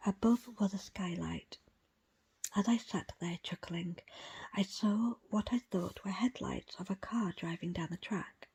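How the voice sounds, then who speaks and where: A woman whispers her way through a book reading in ASMR style. Her voice is very breathy and almost entirely unvoiced, with a slightly low, crackly edge. The phrasing is hushed and measured, broken by small pauses. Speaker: book reader presenting an audiobook extract.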